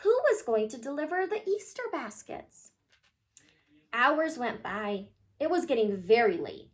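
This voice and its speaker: A woman reading a children's picture book aloud in an animated, expressive way, addressing young listeners. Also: a little high, a little slow.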